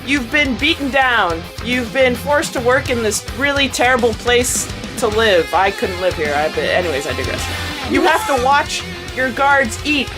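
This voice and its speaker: A woman's voice: a little high, lively intonation, normal speed, over music. A woman improvising comedic role-play on a podcast panel in a rambling, theatrical way.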